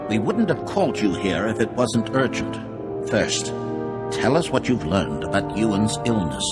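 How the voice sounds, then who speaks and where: A man's voice: on the low side, big pitch swings, unhurried, loud music behind the voice. A man playing a grave, authoritative Jedi council master in a video game, formal and serious. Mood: grave, concerned.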